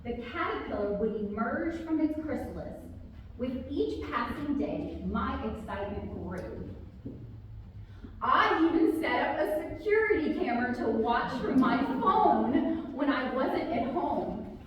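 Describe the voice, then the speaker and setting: A woman author speaking and reading a children's story aloud to a group, with expressive, drawn-out emphasis on key words. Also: sits high, dull audio. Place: large reverberant room, live community gathering. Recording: very echoey hall, distant, faint noise.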